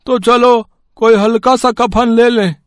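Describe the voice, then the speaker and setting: A man playing a wheedling, callous old village labourer in an audio story, with drawn-out, plaintive rustic speech. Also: very high-pitched, steady tone, no echo. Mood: complaining. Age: older. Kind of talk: acted Hindi audio story dialogue.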